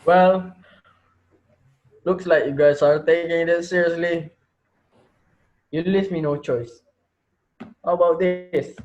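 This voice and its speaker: Young man acting calm menacing male teacher, dull recording. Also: a little high, slow, pauses often. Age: young adult. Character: male art teacher turning calm hostage-taker.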